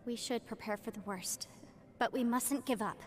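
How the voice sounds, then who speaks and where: A woman's voice: fairly high pitch, normal speed, slight background noise, lightly acted. An actor playing an earnest, methodical young woman in a fantasy game, articulate and analytical in her delivery. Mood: serious, focused. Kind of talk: scripted video game cutscene acting.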